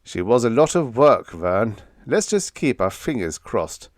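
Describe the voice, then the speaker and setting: Rocket company chief, played by a male reader: persuasive, rallying, lively pitch movement, sci-fi audiobook. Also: unhurried, dry recording, studio quality. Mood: warm approval. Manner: explanatory, rallying speechmaking. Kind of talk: audiobook character dialogue.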